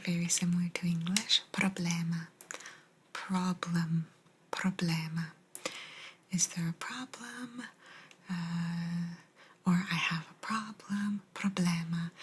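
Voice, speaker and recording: A woman giving a language lesson in a soft, breathy ASMR whisper, gently repeating words. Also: on the low side, noisy background. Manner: slow, gentle repetition of words. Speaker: host teaching Italian vocabulary.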